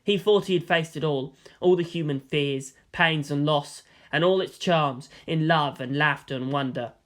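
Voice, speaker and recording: A narrator giving a dramatic, expressive reading of scripted fan fiction. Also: mid-range voice, normal speed. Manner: expressive, theatrical.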